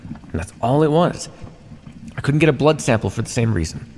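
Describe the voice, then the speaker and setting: A man playing a sardonic vampire captor in an ASMR roleplay, speaking conversationally with hesitant pauses. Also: mid-range voice, expressive, darkish tone, close to an everyday voice. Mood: defensive, exasperated. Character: teasing, menacing male vampire captor, centuries old scientist. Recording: long hall reverb, faint background noise.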